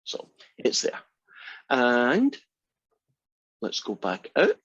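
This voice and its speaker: A man's voice: medium pitch, huge pitch swings, drawn-out words, fairly bright tone, husky, dry recording. A Scottish man in his sixties introduces his math course with plenty of teasing. His voice sits in an ordinary range and is picked up dry and close. The sound is a little muffled and lo-fi. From Scotland. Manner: teasing, joking. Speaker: math instructor. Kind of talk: class introduction. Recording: slightly dull lo-fi sound.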